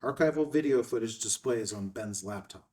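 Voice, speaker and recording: A man's voice: fairly low pitch, moderate pace, a little mellow, distant mic. A man narrating screenplay action lines at a table read. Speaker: stage-direction narrator.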